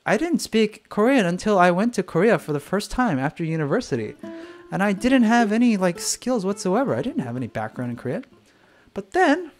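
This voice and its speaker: A singer-songwriter talking candidly on a live stream, swearing freely and acting out the voices of other people, with faint guitar music behind. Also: male voice, high voice. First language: English.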